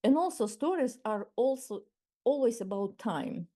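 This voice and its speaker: A woman of around sixty from Ukraine, with a Ukrainian accent and Ukrainian as her first language. She gives a measured webinar masterclass over a video call and often restarts and repeats words. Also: on the high side, animated, dark tone. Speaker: masterclass speaker. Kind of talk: webinar talk.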